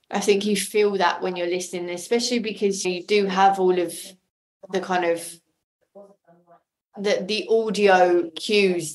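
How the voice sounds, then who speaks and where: Enthusiastic woman, slightly low voice. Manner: enthusiastic, effusive. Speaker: podcast guest, audio drama maker. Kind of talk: casual interview chat.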